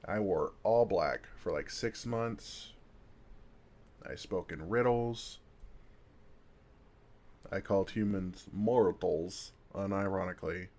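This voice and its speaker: Man acting as a slow, low-voiced, wry vampire. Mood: wry, self-deprecating. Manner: gentle, casual, self-deprecating, dryly sarcastic. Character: kind, wry older male vampire comforting a fledgling. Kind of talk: ASMR roleplay acting, intimate and conversational.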